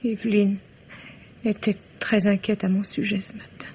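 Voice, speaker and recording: Radio drama: actress as anxious ailing mother, middle pitch, hesitant, lo-fi sound. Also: little pitch movement, moderate pace, darkish tone, close to an everyday voice. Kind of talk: 1950s French radio mystery acting. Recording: dull, noisy vintage radio recording.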